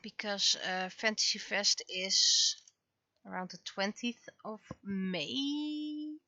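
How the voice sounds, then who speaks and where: A woman chatting casually on a livestream as she works, thinking aloud in a halting way and talking half to herself. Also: mid-range voice, expressive, bright, forceful tone, dry recording, dull audio. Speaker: livestreaming webcomic artist. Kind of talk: casual livestream chat while working.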